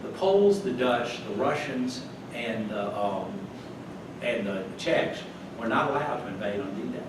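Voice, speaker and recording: A man's voice: medium pitch, animated, a little slow. An older man from North Carolina, giving a chatty, folksy slide talk to a club with chuckling asides. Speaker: club guest speaker. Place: meeting hall. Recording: echoey room from far off, noisy.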